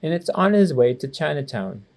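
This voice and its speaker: A man's voice: a little high, sing-song, moderate pace, vocal fry. A man reading the part of a firm, serious mother in a children's storybook.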